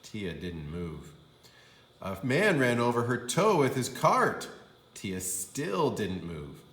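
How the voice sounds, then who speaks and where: Slow, expressive male storybook reader. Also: mid-range voice, roomy sound. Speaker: kindergarten teacher. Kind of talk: expressive storybook read-aloud for young children.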